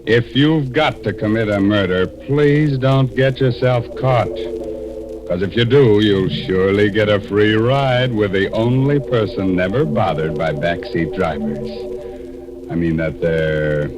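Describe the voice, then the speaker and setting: A man's voice: fairly low pitch, a little slow. An American man hosting a 1940s radio horror show with mock-folksy, macabre comic patter. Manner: mock-folksy, ghoulishly jokey. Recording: old 1940s radio recording with music.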